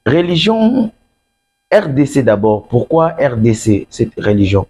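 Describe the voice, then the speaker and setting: Congolese man interviewing, dark mellow voice. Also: medium pitch, big pitch swings, unhurried, slightly rough. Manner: interjects often, echoes the guest's words. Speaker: interviewer, host. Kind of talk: conversational interview, mixing French and Lingala.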